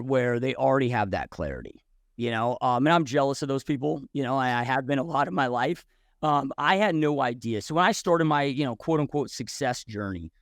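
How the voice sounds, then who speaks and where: A man's voice: medium pitch, dry recording, studio quality. A man in his forties, a podcast guest who tells his story bluntly and casually, swearing freely with many restarts and "dude"s.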